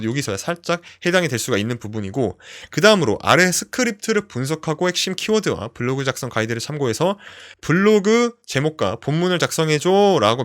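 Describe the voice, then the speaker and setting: A man's voice: medium pitch, a bit bright. A man presenting an instructional tutorial, explaining things step by step in simple terms. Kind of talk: instructional screen walkthrough, explanatory.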